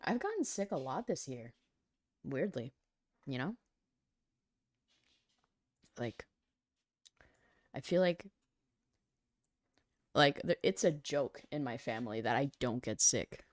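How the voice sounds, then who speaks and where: A woman streamer with a cold, her throat dry and husky, chatting in a rambling, self-deprecating way with her viewers. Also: extremely low pitch, very animated, takes it slowly, pauses often, no room sound. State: hoarse from a cold. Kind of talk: casual chat with stream viewers.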